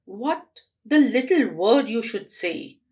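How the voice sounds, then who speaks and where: Storybook mother played by a woman: stern, firm, measured, animated scolding. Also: fairly high pitch. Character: stern mother, cross, firm, correcting her child.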